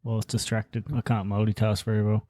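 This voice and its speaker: A man's voice: on the low side, completely flat delivery, quick pace, warm tone, no room sound. A man co-hosting a sports podcast in an informal, somewhat hesitant chatty way. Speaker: podcast co-host. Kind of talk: casual sports chat.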